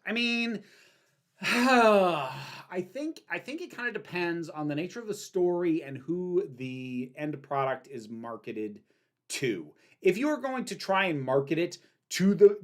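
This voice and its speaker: A woman hosting a casual livestream, reading out chat questions and answering candidly, with frequent ums and restarts. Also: sits low. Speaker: livestream host.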